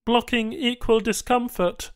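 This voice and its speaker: A man's voice: very high voice, fairly even intonation, measured pace, dry recording, clean studio sound, pitched above the performer's own voice. A man voicing a matter-of-fact alien technician in a science fiction audiobook, speaking emphatically in clipped, stilted broken phrases.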